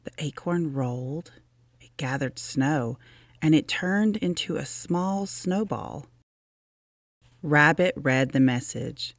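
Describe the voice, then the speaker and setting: A low-voiced woman narrates a children's picture book. She takes her time and uses plenty of lively intonation, recorded close and dry. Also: takes it slowly, no room sound. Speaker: storyteller reading aloud to children. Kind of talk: expressive children's storybook narration.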